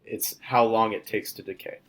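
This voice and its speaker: A young man, a student, walking through a demo of his software project in an informal, step-by-step way. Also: on the low side, steady tone, normal speed, rough recording. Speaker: student presenting a class project.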